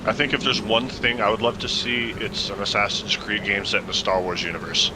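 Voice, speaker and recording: A man's voice: lowish voice, fairly even intonation, fairly quick, heavy background noise. A man chatting casually with a fellow player during a game. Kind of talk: casual chat while gaming.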